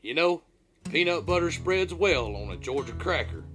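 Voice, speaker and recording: A man's voice: a little high, animated, moderate pace, fairly bright tone, soft background music. A man reading his own first-person memoir as an audiobook, in a folksy, dramatic storytelling manner.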